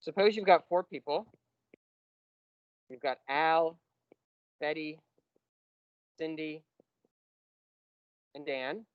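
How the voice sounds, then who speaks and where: Man lecturing, patient, slightly high voice, dry dull lo-fi sound. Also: clear, resonant tone, no echo. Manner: patient, explains step by step, slows on key terms. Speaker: math lecturer. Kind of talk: classroom lecture.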